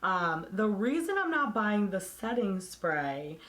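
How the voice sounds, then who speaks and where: A woman beauty vlogger talking casually to camera, hoarse as she loses her voice, candid and blunt in her opinions. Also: medium pitch, very animated, takes it slowly, a bit bright.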